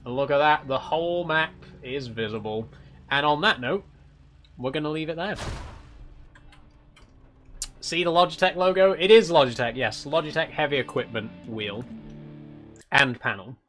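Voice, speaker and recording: A man's voice: fairly high pitch, normal speed, pauses often, a bit bright. A British man chatting casually and politely to viewers as a livestreamer. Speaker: live streamer. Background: background guitar music.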